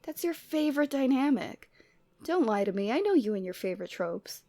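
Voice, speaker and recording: A woman playing a Cupid who is the listener's lovestruck best friend, in a conversational, expressive roleplay audio drama. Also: high-pitched, normal speed, dry recording. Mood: teasing, chatty. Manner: conversational, teasing, emotionally expressive.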